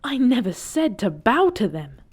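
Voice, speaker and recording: A woman's voice: on the high side, sing-song, measured pace, a little mellow, slightly rough, full character voice. A woman playing a defiant, principled dragon princess in an audio drama, speaking formally and with earnest emphasis. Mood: weary, reproachful. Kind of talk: dramatic audio roleplay acting.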